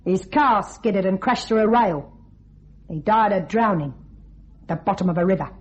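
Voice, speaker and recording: A woman's voice: mid-range voice, lively intonation, close to an everyday voice. A woman playing a cold, scheming young widow in a radio thriller, mocking and coolly commanding. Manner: taunting, coolly controlled, deliberate. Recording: dull, band-limited radio drama recording.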